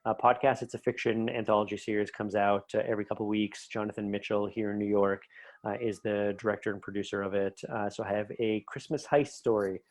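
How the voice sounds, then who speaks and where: Guy with a lowish voice, podcast guest, chatty and keen, kind of rambles as he explains. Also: level tone, a little fast. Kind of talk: casual interview chat.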